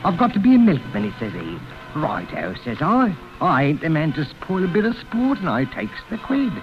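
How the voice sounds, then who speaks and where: A man's voice: a little high, wildly swooping pitch, moderate pace. Chirpy milkman. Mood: eager. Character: chatty working-class London milkman witness. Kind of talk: vintage radio drama acting. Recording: dull lo-fi old radio recording with music.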